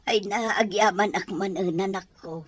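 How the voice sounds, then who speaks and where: A woman playing an elderly, tender but sharp-tongued Filipino grandmother with an Ilocano accent and husky voice in an audio drama. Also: medium pitch, fairly even intonation, measured pace, no echo, natural voice. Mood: scornful, worried.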